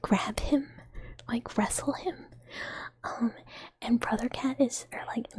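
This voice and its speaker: A young adult woman streamer chatting casually in a whisper, rambling with lots of fillers and soft giggles. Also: fairly high pitch.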